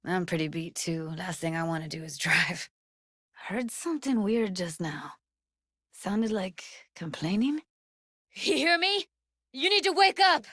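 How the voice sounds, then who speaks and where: A woman's voice: medium pitch, sing-song, a little slow, fairly bright tone, no echo, clean studio sound. A woman playing a streetwise young tech in a cyberpunk video game, guarded but tender, with casual, clipped slangy phrasing. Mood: urgent. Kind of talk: naturalistic video game voice acting.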